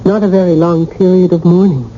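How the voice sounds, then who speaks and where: A woman's voice: on the low side, steady tone, a little fast, dark tone, close to an everyday voice. A woman acting a sly, seductive voodoo witch in a radio drama, coolly teasing and evasive. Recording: dull, noisy old radio broadcast recording.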